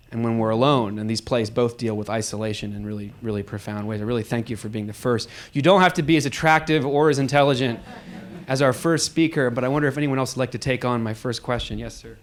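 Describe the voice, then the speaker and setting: A man moderating a live audience talkback, speaking off the cuff in a reflective, explanatory way with halting phrases. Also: mid-range voice. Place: live event space with an audience.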